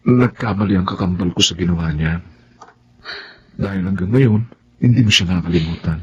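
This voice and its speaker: A man's voice: low voice, moderate pace, slightly rough. A man playing an earnest, mild-tempered young boyfriend in a Tagalog radio drama, explaining himself. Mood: calm, earnest. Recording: muffled audio with background noise.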